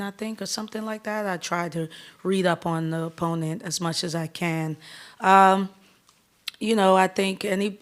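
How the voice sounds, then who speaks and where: A woman coach in her thirties from the Bahamas, answering press questions in an upbeat, candid way with quick restarts. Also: on the low side. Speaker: head basketball coach.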